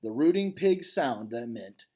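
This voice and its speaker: Storybook silverback gorilla, read by a man: adult male, gentle, weary, reluctant, reflective, expressive pitch movement. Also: unhurried.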